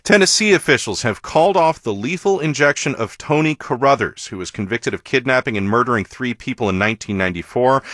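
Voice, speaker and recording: A man's voice: mid-range voice, a bit bright, no room sound, studio quality. A man reading a scripted radio newscast as an anchor. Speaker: news anchor.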